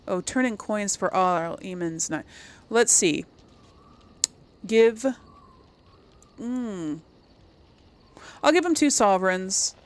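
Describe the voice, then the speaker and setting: A woman giving casual let's play commentary, chatting to viewers and thinking aloud as she goes. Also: medium pitch. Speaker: gaming channel host.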